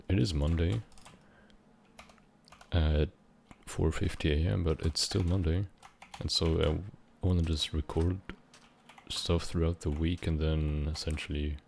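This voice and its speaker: A young man of about nineteen from Switzerland, rambling unscripted in a halting, meandering way while he plays a game, with casual swearing. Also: very deep voice, creaky, a little mellow, a little hiss or hum. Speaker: gaming vlogger.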